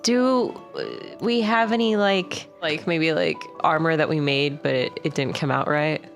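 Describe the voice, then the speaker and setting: Lowish-voiced woman at a tabletop game. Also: a little slow, soft background music. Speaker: player in a tabletop role-playing game. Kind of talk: casual, partly in-character chat.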